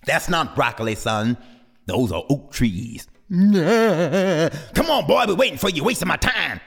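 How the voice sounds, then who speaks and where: A man's voice: a little high, very animated, measured pace, in a large hall, exaggerated voice. A man voicing a firm, encouraging father hawk who coaxes his son. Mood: coaxing.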